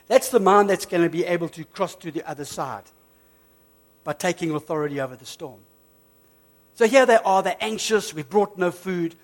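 A man preaching a sermon, emphatic and repetitive, slowing down on key phrases, a bit hoarse from flu. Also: high voice. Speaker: pastor.

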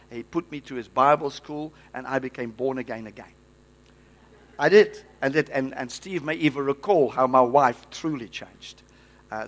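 A man's voice: mid-range voice, fairly bright tone. A man from the Netherlands with a Dutch accent, preaching a Pentecostal-style sermon in an exclamatory way, often hesitating and repeating words. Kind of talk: Pentecostal-style sermon with congregational call-outs.

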